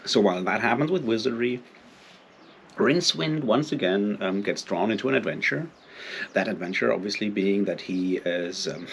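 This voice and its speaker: A man giving an informal, unscripted book discussion as a video host, halting as he thinks aloud. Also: lowish voice, creaky, fairly bright tone, a little hiss or hum.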